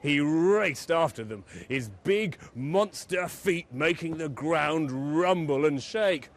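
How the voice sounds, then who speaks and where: Animated male children's storyteller, unhurried. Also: on the high side. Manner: dramatic, deliberate emphasis on key words. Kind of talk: expressive children's bedtime story narration.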